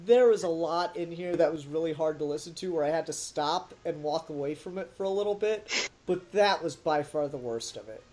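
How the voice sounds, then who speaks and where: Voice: mid-range voice, fairly quick, a little mellow. A podcast co-host giving a casual, blunt and emphatic critique in conversation. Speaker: podcast co-host reviewing an audio drama.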